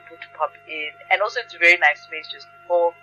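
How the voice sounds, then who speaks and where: A woman talks conversationally as an interview guest. Her voice is bright and clearly projected, with music in the recording. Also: fairly low pitch, fast, poor audio. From South Africa. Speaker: guest, theatre storyteller.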